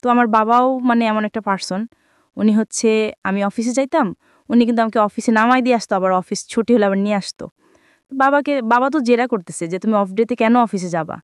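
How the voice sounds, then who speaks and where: High-voiced young Bangladeshi woman, close and dry. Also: a little fast, clean tone, dry recording. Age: young adult. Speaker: guest telling own life story. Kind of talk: conversational personal storytelling.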